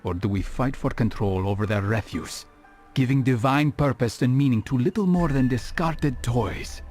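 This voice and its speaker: Game hero played by a male actor: brooding, watchful assassin, medium pitch, measured delivery, faint music. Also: a little mellow, lightly acted. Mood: brooding, reflective. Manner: measured, serious, formal. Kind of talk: dramatic video game acting.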